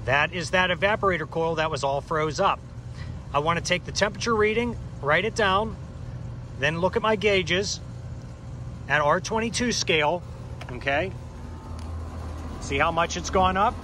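A man's voice: high voice, moderate pace, long pauses, sharp tone, a little hiss or hum. A man in his thirties working as an HVAC technician, explaining a repair step by step outdoors and often checking in with "okay?". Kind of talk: hands-on how-to explanation. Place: outdoors by an air conditioning unit in a yard. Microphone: camera mic, handheld or on a tripod.

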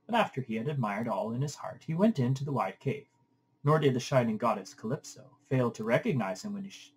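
A man reading an epic poem aloud as an audiobook narrator, in an expressive literary style. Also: mid-range voice, husky, poor audio. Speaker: audiobook reader.

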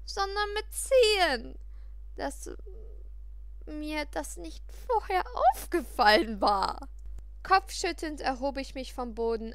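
A woman reading a first-person story aloud in an expressive, dramatic way. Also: high-pitched, no echo. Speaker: audiobook reader.

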